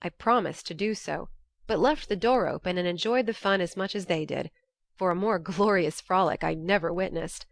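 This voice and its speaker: Low-pitched woman narrating a classic novel. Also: moderate pace, creaky, no echo, studio quality. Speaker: audiobook narrator. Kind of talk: scripted narration of a classic novel as lively first-person letters.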